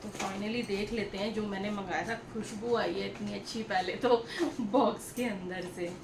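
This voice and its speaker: A woman's voice: medium pitch, fairly even intonation, moderate pace. A woman telling a story to viewers in a casual, chatty vlog style, repeating herself and addressing them directly. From India. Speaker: vlogger. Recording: echoey room with clear background noise.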